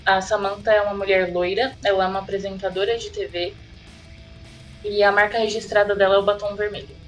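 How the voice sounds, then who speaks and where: A woman's voice: medium pitch, little pitch movement, fairly quick, soft background music, rough recording. A woman, a role-playing player, casually introducing her character.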